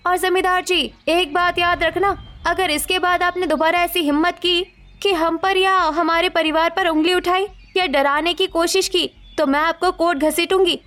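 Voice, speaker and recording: A woman's voice: very high-pitched, steady tone, normal speed, a bit bright. A woman voicing an educated young village daughter-in-law in a cartoon story, firm and defiant. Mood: warning. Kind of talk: Hindi moral-story cartoon voice acting.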